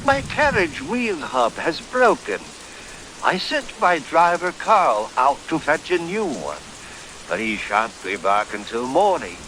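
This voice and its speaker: A man's voice: on the high side, big pitch swings, takes it slowly, bright, forceful tone, heavily acted, low-quality recording. A man playing a genteel, formal lord in an old-time horror-comedy radio play, with courtly, theatrical, old-fashioned diction. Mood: courteous. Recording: hissy track with rain noise. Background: rain and hiss.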